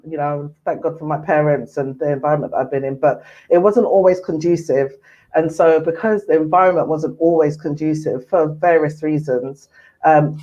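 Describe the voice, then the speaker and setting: Woman, 40s, from Ghana, earnest and unhurried guest, dull lo-fi line. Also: sits low, mellow. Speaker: mental health services manager, guest. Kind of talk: casual interview chat. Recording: muffled lo-fi audio.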